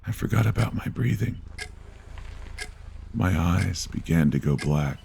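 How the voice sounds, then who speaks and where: A man reading a first-person horror story aloud in a slow, low and grave delivery. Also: animated, vocal fry, mellow, slight background noise. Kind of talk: scripted horror narration.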